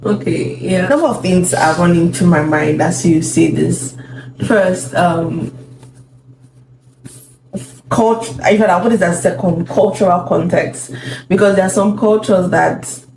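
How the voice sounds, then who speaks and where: A woman's voice: fairly low pitch, measured pace, gravelly. Woman, thoughtful, agreeable, echoey room, background noise. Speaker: co-host, wife. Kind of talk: casual talk on marriage advice.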